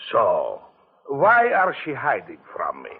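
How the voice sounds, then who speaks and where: An actor playing a heavy foreign man in a plaster cast, speaking in broken, halting English in a 1940s radio play. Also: mid-range voice, huge pitch swings, slightly rough, exaggerated voice. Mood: pleading. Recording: tinny old radio broadcast.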